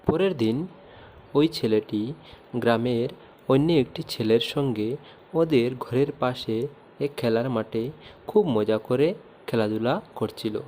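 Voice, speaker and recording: A man's voice: mid-range voice, slow pace, long pauses, warm tone. A man narrating a scripted moral tale for a cartoon as its storyteller.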